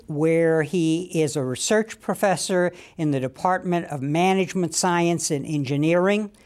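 A man's voice: high voice, darkish tone, clear, resonant tone. An older man acting as host of a studio interview show. He speaks formally and courteously as he introduces his guest and puts his questions, recorded in a TV studio on a lapel mic.

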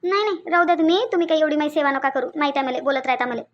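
A woman's voice: high-pitched, racing, dull audio, close to an everyday voice. A woman voicing a stubborn, complaining old village grandmother in a cartoon, with a rustic Varhadi Marathi accent and a repetitive, grumbling manner. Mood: irritated, stubborn. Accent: Varhadi, Vidarbha Marathi. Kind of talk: cartoon dialogue.

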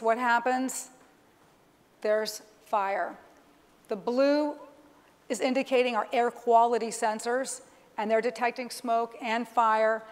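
High-voiced woman presenting in a hall. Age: middle-aged. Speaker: corporate executive presenting a keynote demonstration. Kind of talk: live stage presentation, explanatory commentary. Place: large conference stage, indoors. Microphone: clip-on lapel microphone. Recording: reverberant hall sound through PA.

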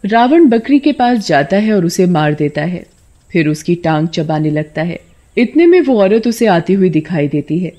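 A woman's voice: fairly low pitch, expressive, moderate pace, warm tone. A woman narrating a scripted story for a cartoon.

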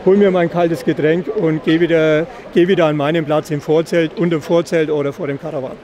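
A middle-aged man explaining product features in an interview, slightly halting and repeating words. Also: on the high side, fairly even intonation, a little mellow. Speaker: caravan company representative. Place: caravan at an indoor trade fair. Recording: clear background noise of busy trade fair.

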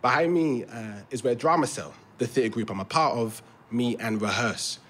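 A man's voice: mid-range voice, moderate pace, a bit bright, lightly acted. An actor playing an eager, earnest young London theatre actor in an audio drama, overenthusiastic and stumbling over his words, with a London accent. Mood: earnest, upbeat. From London, England. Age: young adult. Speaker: actor in a fiction podcast. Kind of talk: naturalistic audio drama dialogue. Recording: faint ambient background noise.

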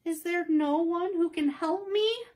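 A bright, high little girl's voice, eager and pleading, as a woman reader puts her on. She lifts well above the narration with earnest, homesick feeling. A storybook character read aloud. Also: very animated, normal speed, full character voice. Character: young girl, earnest and homesick.

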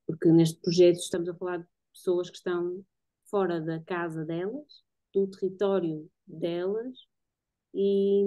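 Woman, European Portuguese accent, artist guest. Lowish, mellow voice, reflective, conversational delivery. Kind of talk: casual explanatory conversation about own work. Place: home bedroom-living room, indoors. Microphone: computer mic on a video call. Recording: dull, lo-fi video-call audio.

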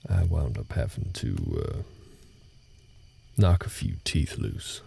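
A man playing a gruff, world-weary but gentle cowboy ranch hand in an intimate audio roleplay, speaking in a halting, folksy way. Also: very animated, heavily acted. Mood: relaxed, wry. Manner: halting, low-key, folksy drawl-like phrasing. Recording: night ambience noise behind the voice.

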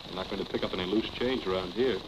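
An actor playing a sardonic, embittered husband, dry and sarcastic, in a 1940s film. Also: male voice, sits low, fast talker, close to an everyday voice. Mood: bitter, subdued. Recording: muffled, faintly noisy vintage film soundtrack.

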